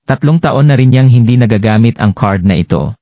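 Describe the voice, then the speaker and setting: A man's voice: medium pitch, lively intonation, fairly quick, mellow. A Filipino man narrating a scripted, dramatized story as its storyteller. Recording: dull lo-fi, roomy echo.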